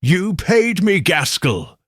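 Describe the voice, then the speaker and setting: A man voicing a hoarse, defiant and accusing male accomplice in a storybook mystery. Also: high-pitched, big pitch swings, slow, dry recording, studio quality, voice pushed up, heavily acted.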